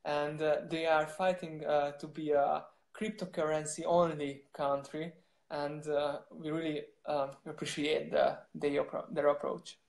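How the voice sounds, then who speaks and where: A man's voice: on the high side, little pitch movement, a little slow, gravelly, darkish tone. Man speaking in an echoey room. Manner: hesitant, many restarts and fillers. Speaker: startup co-founder. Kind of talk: interview answers.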